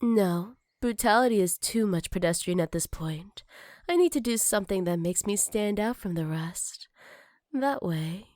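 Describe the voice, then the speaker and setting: An intimate, very dry close-mic recording of a possessive female villain acted by a woman. She teases and flirts in a breathy, mid-pitched voice that often sinks toward a whisper, with jealousy under every line in ASMR roleplay style. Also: darkish tone, dry recording, natural voice. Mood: playful, seductive.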